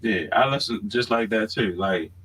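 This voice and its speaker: A man's voice: fairly low pitch, moderate pace, fairly bright tone, slightly rough, rough recording. A man with a Baltimore accent chatting casually as a podcast co-host, often checking in with "you know what I mean". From Baltimore, United States. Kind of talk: casual unscripted chat.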